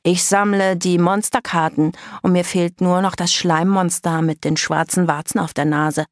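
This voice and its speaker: A woman's voice: lowish voice, fairly even intonation, normal speed, no room sound, studio quality, lightly acted. A woman narrator voicing a blunt, enthusiastic tomboy vampire girl in a children's story.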